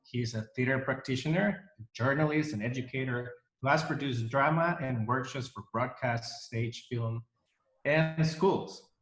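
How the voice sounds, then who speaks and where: A man's voice: mid-range voice, measured pace, a bit bright, echoey room, low-quality recording. A man hosting an interview over a video call, speaking in a welcoming way with many fillers and restarts. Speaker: interview host. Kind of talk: casual interview.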